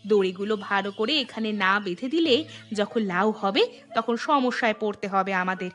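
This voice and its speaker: Woman playing a bright-voiced village housewife. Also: mid-range voice, normal speed, soft background music, natural voice. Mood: busy, content. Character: hardworking, thrifty village housewife, practical and chatty. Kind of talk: Bengali cartoon voice acting.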